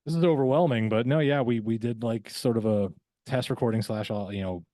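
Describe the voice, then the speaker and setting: A man who works as a voice actor, talking casually as a podcast guest, reflective and halting, often repeating words as he finds his way. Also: medium pitch, warm tone, no echo, studio quality.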